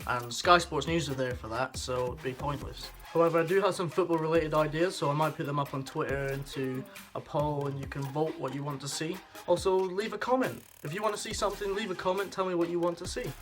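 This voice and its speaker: A man's voice: fairly high pitch, fairly quick, a bit bright, soft background music. Young Scottish man, chatty vlog. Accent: Highland Scottish, softened by years in England. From Scotland. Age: young adult. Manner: chatty, wry, blunt. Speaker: vlogger. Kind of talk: casual talking-to-camera vlog.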